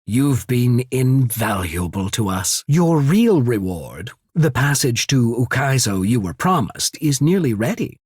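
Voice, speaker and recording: An actor playing a cool, shrewd, courteous military official in a video game, speaking in a measured, formal, husky way. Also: male voice, mid-range voice, sing-song, a little mellow, no echo, studio quality. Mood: businesslike, courteous. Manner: measured, formal, subtly calculating. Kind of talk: scripted game character dialogue.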